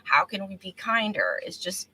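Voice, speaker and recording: Bright, animated woman hosting a talk show. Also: mid-range voice, moderate pace. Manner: encouraging, affirming. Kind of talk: casual live-stream interview.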